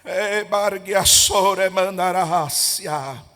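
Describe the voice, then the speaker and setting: A male evangelist leading a Pentecostal prayer, chanting, speaking in tongues and rising to fervent shouts. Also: sits high, extremely slow. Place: church. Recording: reverberant church hall with music.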